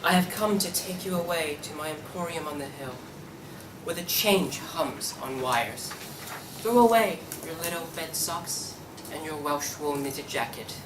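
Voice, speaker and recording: A woman's voice: deep voice, expressive, measured pace. A young woman playing an ardent draper, a man mad with love, in a table read of a radio play. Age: young adult. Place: room at a university table read. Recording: distant echoey room with clear noise.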